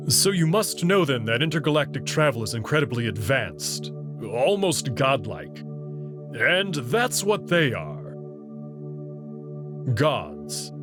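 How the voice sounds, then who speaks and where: A man voicing a proud, guarded male alien who explains things slowly and carefully. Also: medium pitch, very animated, over music. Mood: calm. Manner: measured and slow, prideful about his species. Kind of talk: visual novel reading.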